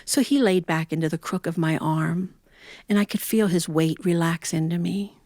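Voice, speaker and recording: A middle-aged woman telling humorous personal stories to an audience in a folksy, animated way, acting out bits of dialogue. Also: on the low side, normal speed, dry recording, studio quality. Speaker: storyteller.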